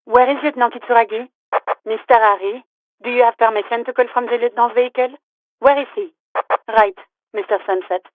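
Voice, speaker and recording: A thin two-way radio channel carries a female police dispatcher from a video game. Her voice sits fairly high and is close and dry beneath the filter. She is formal and patient, with a clipped, official courtesy. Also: steady tone, brisk, dry recording. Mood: stern, suspicious. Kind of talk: scripted video game voice lines. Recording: tinny, narrow-band two-way radio.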